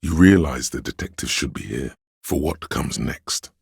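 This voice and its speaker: A man voicing a policeman's inner instinct in a video game, narrating in the second person with grave, measured, dryly ironic delivery and a gravelly, husky tone. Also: rumbling low, lively intonation, no echo, clean studio sound, exaggerated voice.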